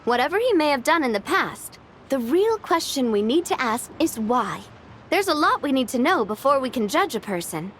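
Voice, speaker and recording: A woman's voice: sits high, very animated, moderate pace, a bit bright, a little hiss or hum. A woman playing an earnest, spirited young female adventurer in a video game. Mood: earnest, reassuring. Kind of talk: animated video game voice acting.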